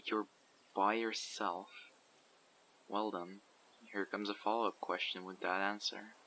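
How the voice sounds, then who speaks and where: A man voicing a guarded survivor leader who recruits people for a community, asking measured, probing questions in an audio roleplay. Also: on the low side, little pitch movement, sharp tone, slight background noise, low-quality recording. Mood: calm, probing. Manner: measured, probing, matter-of-fact. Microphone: USB condenser microphone.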